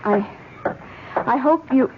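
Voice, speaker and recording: An actress playing an anxious, guilt-ridden wife in an old-time radio drama, earnest and halting in her speech. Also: high voice. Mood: guilty, anxious. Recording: muffled, noisy old radio recording.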